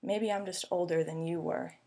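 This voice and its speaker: A young adult woman reciting a slam poem in an earnest, intimate way with deliberate phrasing. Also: on the low side, fairly even intonation, warm tone, slight background noise. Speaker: slam poet.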